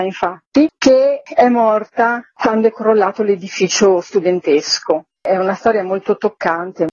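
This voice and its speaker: A woman's voice: mid-range voice, a little mellow, no room sound. Woman presenting theatre programme, dull audio. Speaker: theatre artistic director, guest. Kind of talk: radio interview, presenting a programme. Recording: dull lo-fi radio interview audio.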